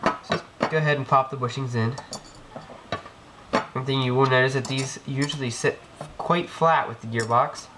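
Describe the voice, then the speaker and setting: A man's voice: medium pitch, fairly even intonation, moderate pace, long pauses. A man with a cold giving a casual hands-on tutorial, rambling with many ums and restarts as he works. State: has a cold. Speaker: airsoft gearbox tech demonstrator. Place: indoor room, work on floor. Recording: noisy home video with clicks.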